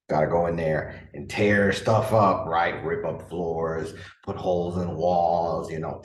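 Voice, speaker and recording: Man, 30s, from the Dominican Republic, low voice, echoey room, casual podcast monologue, earnest, rambling. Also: distant mic. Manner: earnest, rambling, lots of fillers and restarts. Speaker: podcast host and coach.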